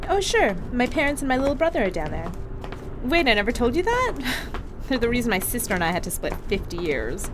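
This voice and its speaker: A flirty crewmate: lively, slightly high, quick to laugh; noisy track. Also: female voice. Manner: bubbly, teasing, laughs often. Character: flirty, chatty female crewmate. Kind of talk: audio drama acting.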